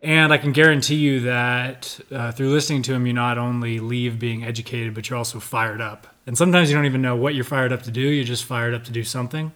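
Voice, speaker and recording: A man's voice: medium pitch, level tone, fast talker, few pauses, a bit bright. A man hosting a casual interview podcast, joking easily and using many fillers. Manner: easygoing, joking, filler-heavy. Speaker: podcast host.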